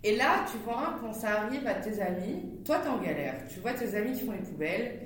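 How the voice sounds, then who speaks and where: A woman in her thirties, a startup founder, telling her story as a podcast guest in a lively way with frequent laughs. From Netherlands. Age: 30s. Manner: animated, laughs often, talks fast in bursts. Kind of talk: casual interview storytelling. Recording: echoey room, distant from the mic, faint rumble.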